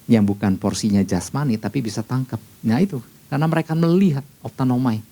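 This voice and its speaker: A male pastor teaches in a relaxed, mellow voice with a creaky edge. His pitch sits in the middle for a man. He explains patiently in a conversational sermon style, restating key phrases and checking in with his listeners. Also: fast talker.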